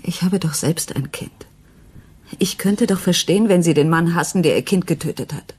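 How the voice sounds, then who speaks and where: A woman's voice: lowish voice, moderate pace, a little mellow, breathy edge. An actress playing an earnest, insistent, troubled wife and mother in a German radio crime play. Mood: pleading. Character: distraught, doubting wife of a jazz musician, a mother.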